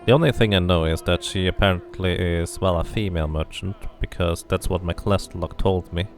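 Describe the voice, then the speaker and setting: Male game streamer, deep gravelly voice, casual commentary, read-aloud narration, thinking out loud, music in the background. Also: fairly quick. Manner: muses aloud while playing.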